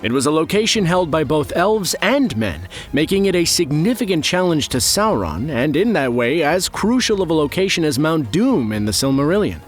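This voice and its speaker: A man's voice: on the high side, big pitch swings, normal speed, few pauses, faint music behind. A man narrating a scripted explainer in a wry, playful, conversational way, with joking asides. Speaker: presenter of a fantasy lore explainer video.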